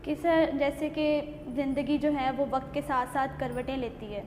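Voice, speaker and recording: A woman with a high-pitched voice presents a literary programme. Her wording is formal and poetic, like a prepared introduction. The room is echoey, with some noise behind her. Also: warm tone. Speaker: host of a literary talk show. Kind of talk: formal scripted introduction and questioning. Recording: echoey reverberant room, clear background noise.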